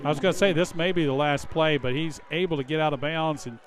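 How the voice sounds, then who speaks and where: Slightly high-voiced American color commentator. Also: male voice, quick pace. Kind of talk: conversational live football analysis. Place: outdoor stadium.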